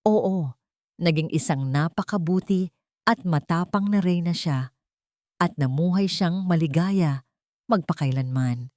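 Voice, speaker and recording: A woman voicing a gentle, loving father in a narrated story, speaking softly and warmly with tender reassurance. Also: fairly low pitch, a little slow, long pauses, no room sound, clean studio sound, lightly acted. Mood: tender, moved. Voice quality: soft, warm. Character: gentle, loving adoptive father, powerful businessman.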